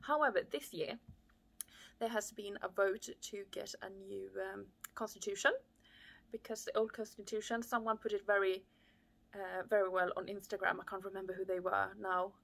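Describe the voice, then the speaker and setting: A woman's voice: medium pitch, fairly even intonation, normal speed, creaky, a bit bright. Woman from chile, thirties, reflective casual vlog talk, living room, camera close up. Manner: reflective, many fillers, gestures while talking. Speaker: vlogger. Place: living room with fireplace, indoors. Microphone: camera on a desk at close range.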